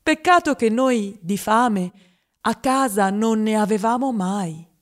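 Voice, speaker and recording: A woman playing a wistful grown-up who was a fat child, in a deliberate, theatrical radio monologue. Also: fairly high pitch. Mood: wry recollection. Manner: deliberate, dramatic delivery with drawn-out lists. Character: wistful formerly fat grown-up recalling a greedy, mother-adored childhood.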